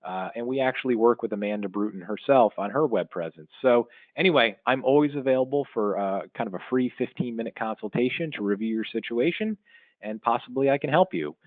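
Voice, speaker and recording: A man's voice: mid-range voice, fairly quick, no room sound. A middle-aged man giving an informal advice podcast monologue in an explanatory, conversational way, leaning on his key points. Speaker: podcast host and marketing consultant. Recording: muffled, narrow phone-like sound, dry.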